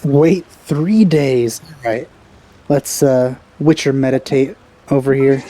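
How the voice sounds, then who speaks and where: Man, very dark soft-edged tone, mid pitch, casual offhand let's play commentary. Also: takes it slowly. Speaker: let's player.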